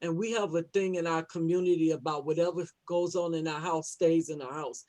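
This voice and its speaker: A man's voice: on the high side, steady tone, brisk, darkish tone. A man speaking as a panelist in a plain-spoken, insistent way. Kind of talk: emphatic panel discussion.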